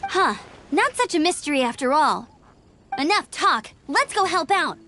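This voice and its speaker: A woman's voice: high-pitched, sing-song, measured pace, background noise. A woman playing a spirited, eager young adventurer in an anime video game. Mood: eager, concerned.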